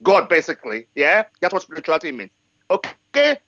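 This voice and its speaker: A man's voice: a little high, huge pitch swings, brisk, a bit bright, dry recording, highs cut off. A man in his forties from Africa, speaking in a live discussion with emphasis and frequent checks of "okay" and "yes". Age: 40s. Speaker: guest speaker.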